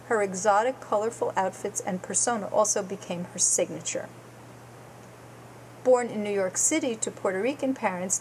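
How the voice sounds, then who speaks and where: A woman's voice: mid-range voice, darkish tone, slight background noise, low-quality recording. A woman hosting a guitar show, reading a prepared segment in an earnest way and going carefully through lists.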